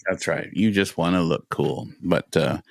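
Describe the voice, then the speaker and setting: A man's voice: low-pitched, moderate pace, creaky, dry recording, clean studio sound. A man chatting casually as the co-host of a podcast. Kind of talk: casual chat.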